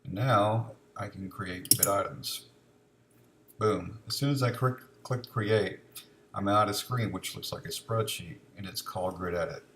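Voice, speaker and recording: A man giving an informal, instructional, step-by-step demonstration of software in a screencast. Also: lowish voice, measured pace. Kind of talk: casual step-by-step screencast walkthrough. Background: noise with keyboard typing and mouse clicks.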